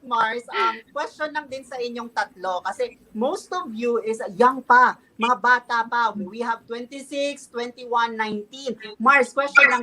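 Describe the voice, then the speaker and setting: A woman's voice: a little high, pushed and bright, low-quality recording. A woman with a Filipino accent hosting a casual online interview, chatty and full of fillers, switching between Filipino and English. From Philippines. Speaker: host.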